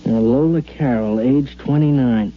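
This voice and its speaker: A man's voice: mid-range voice, measured pace, dull audio, lightly acted. An American man acting as an eager but skeptical sidekick in a swindle, wisecracking in slang in a 1950s radio drama. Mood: dryly sarcastic. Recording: band-limited, humming 1950s radio recording.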